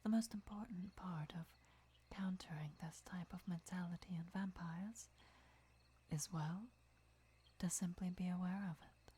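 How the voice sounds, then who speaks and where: A woman playing a gentle, devoted vampire lover who teaches patiently in a breathy, measured whisper for an ASMR roleplay. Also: fairly low pitch, fairly even intonation. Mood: calm, instructive. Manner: measured, deliberate, soft-spoken explaining.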